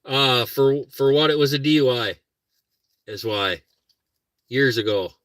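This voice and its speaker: A middle-aged man with a Minnesota accent rambles to his viewers from inside his car. He chats loosely and pokes fun at himself. His voice is bright and forward, and the recording is close and dry with no room around it. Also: mid-range voice, unhurried, no echo. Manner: rambling, self-deprecating, talks to viewers and his dog. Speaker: car-camping vlogger. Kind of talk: casual live chat. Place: parked car while car camping.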